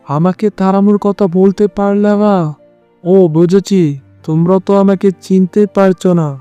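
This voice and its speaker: A man's voice: high-pitched, steady tone, normal speed, warm tone, clean tone, no echo, dull audio, faint music behind, natural voice. A man voicing a hapless young cartoon fox turned into a bird, speaking in a plaintive, pleading way. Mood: distressed. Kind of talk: Bengali children's cartoon dubbing.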